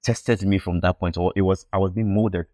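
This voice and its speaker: A young man from Ghana with a low, deep voice tells his story as a podcast guest. He speaks earnestly and reflectively, often repeating a word while he searches for the next one. The sound is dry and close. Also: fairly even intonation, normal speed, darkish tone, no room sound. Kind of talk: casual interview storytelling.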